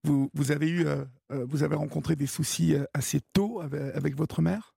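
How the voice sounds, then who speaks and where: A man hosting a late-night radio call-in show, gently prompting his caller with questions. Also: a little high, fairly even intonation, brisk, creaky, breathy edge, dry recording, clean studio sound. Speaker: radio host. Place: radio studio.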